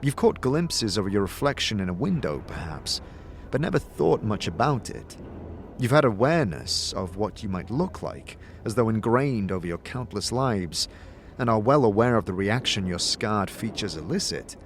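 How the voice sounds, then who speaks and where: A man narrating a dramatic fantasy story in the second person, with a theatrical, expressive delivery. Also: lowish voice, normal speed, slight background noise. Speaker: narrator and game master voice. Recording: music behind the voice. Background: music.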